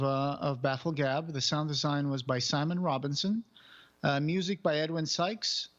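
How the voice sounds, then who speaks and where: High-voiced enthusiastic man, podcast co-host. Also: a bit bright, highs cut off. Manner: enthusiastic, emphatic. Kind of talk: casual review chat.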